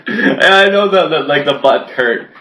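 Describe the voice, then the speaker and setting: A man's voice: a little high, pushed and bright, rough recording. A man of twenty chatting as a podcast guest, crude and joking, often laughing as he talks. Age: 20s. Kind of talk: casual chat.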